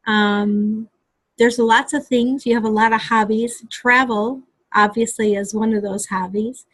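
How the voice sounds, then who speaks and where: Slow, hesitant woman hosting a live stream. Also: fairly high pitch, steady tone, fairly bright tone, no echo. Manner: hesitant, many ums and restarts. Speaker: host.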